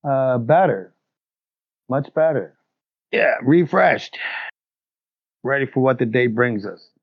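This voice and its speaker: Man, tabletop player, gravelly voice, dull dry recording, joking. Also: medium pitch, animated, slow pace, dry recording. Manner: joking, laughing. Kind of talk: playing a tabletop game.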